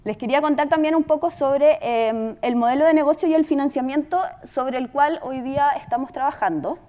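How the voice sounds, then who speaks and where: Chilean female presenter, higher-pitched, informal and conversational talk to an audience. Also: fairly quick, warm tone. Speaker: podcast company presenter.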